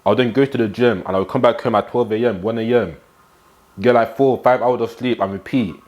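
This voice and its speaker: A man's voice: medium pitch, fairly even intonation, fairly quick, a little mellow. A nineteen-year-old man, talking straight to camera with blunt, slangy motivation and repeated catchphrases, filmed in a small room at home. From England. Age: teens. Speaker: fitness and self-improvement vlogger.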